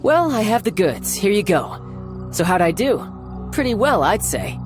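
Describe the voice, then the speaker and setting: An actor playing a cocky, smooth-talking young man in a video game, theatrical and playfully condescending. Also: high voice, very animated, measured pace. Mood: confident, playful. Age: young adult. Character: cocky, smooth-talking young male fighter posing as salesman. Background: game music.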